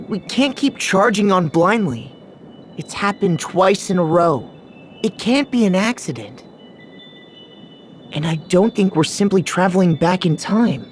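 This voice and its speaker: An actress playing an earnest young heroine who leads a party of adventurers in a video game, composed and questioning. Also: female voice, on the low side, expressive, slow pace, darkish tone, a little hiss or hum. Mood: concerned, resolute.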